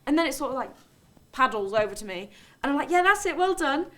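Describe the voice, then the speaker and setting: A woman's voice: on the high side, fast, fairly bright tone, natural voice. A woman playing a volatile, defensive character in a dramatic monologue, blunt and colloquial. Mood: hushed, wry. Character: volatile, defensive, sweary woman recounting her outburst. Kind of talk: dramatic monologue, conversational storytelling.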